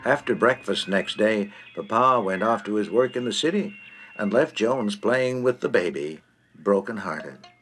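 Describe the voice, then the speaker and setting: A man's voice: on the low side, measured pace, a bit bright, soft background music. A man narrating a comic short story in a droll, theatrical, precise manner.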